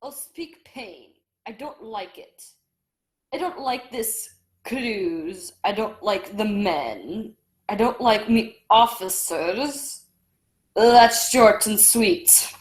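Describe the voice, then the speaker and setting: A woman's voice: mid-range voice, animated, drawn-out words. A woman playing a blunt, disapproving male ship's captain in a radio play, curt and plain-spoken. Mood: grumbling.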